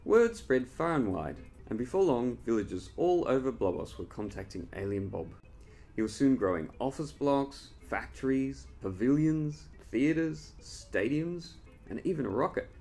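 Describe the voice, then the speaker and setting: A man reading his own children's story as an expressive storyteller, addressing young listeners directly. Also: mid-range voice, a little slow, faint music behind. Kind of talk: animated scripted storytelling for children.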